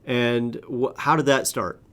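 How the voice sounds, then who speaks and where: A man's voice: medium pitch, fairly even intonation, takes it slowly, fairly bright tone. An American man hosting an interview, asking questions with interest and a few hesitant fillers. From United States. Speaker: podcast host. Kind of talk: casual interview.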